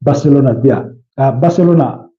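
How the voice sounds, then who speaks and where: Man, Ugandan accent, football livestream host, casual chat, medium-pitched voice, dull echoey room recording. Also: moderate pace, dark tone. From Kampala, Uganda. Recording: dull, roomy, echoey sound.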